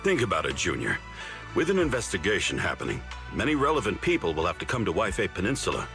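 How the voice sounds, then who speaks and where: An actor playing a cunning, easygoing senior disciple in a video game, explaining things with confidence and expression. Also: male voice, deep voice, huge pitch swings, fast talker, creaky, music in the background, heavily acted. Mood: sly, confident.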